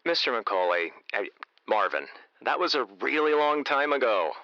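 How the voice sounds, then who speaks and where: A man playing a casual, gently needling radio host with a dry, teasing way of talking in a comedy audio drama. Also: mid-range voice, sing-song, normal speed, dry recording, muffled recording. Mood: curious, sheepish.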